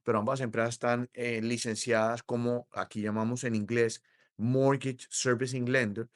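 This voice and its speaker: A middle-aged Colombian man with a Colombian accent, explaining mortgages as an interview guest in a measured way, with many hesitations. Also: mid-range voice, flat delivery, no room sound, studio quality. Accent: Colombian Spanish. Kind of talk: interview conversation.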